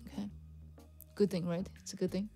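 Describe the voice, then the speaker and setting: Korean-accented female livestream host, 30s, chatty and soft, studio mic in a cozy room, faint piano. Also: mid-range voice, flat delivery, brisk. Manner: chatty, laughs often, soft and friendly. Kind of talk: casual chat with viewers, reading donations. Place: cozy home music room with Christmas decorations. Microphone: studio condenser mic on stand.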